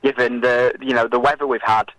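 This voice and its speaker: Halting British man in a dry radio interview. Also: medium pitch, fairly even intonation, a bit bright, no echo, poor audio. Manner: halting, hesitant delivery with many filled pauses. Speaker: political campaigner and interview guest. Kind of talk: radio interview, explaining and arguing.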